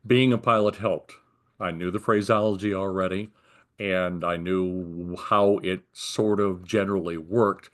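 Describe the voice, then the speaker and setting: An older man with an American accent speaks in a fairly low voice. He recounts things conversationally, as an interviewee would, and explains them in detail. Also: steady tone, unhurried. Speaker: retired air traffic controller being interviewed.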